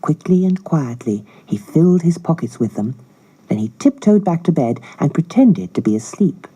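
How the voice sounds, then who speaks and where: A woman narrating a children's storybook in a measured, expressive, scripted style. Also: low voice, husky, poor audio. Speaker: audiobook narrator.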